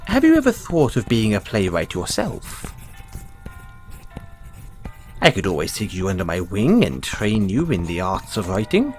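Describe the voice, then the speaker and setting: A man voicing a refined male playwright in a one-sided audio roleplay, speaking in a theatrical, drawn-out way and dropping low on each "dear boy". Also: huge pitch swings, soft background music, poor audio. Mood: affectionate.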